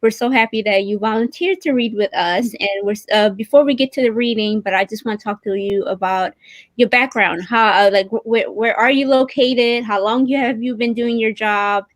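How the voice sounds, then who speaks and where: A woman's voice: on the high side, normal speed, no room sound. A woman co-hosting a casual livestream and interviewing, with an enthusiastic, welcoming manner. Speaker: co-host and interviewer.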